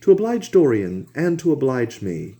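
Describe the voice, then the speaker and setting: A man's voice: medium pitch, very animated, moderate pace, mellow, voice pushed up. A man doing an earnest, coaxing painter. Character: earnest older male painter, gentle and pleading.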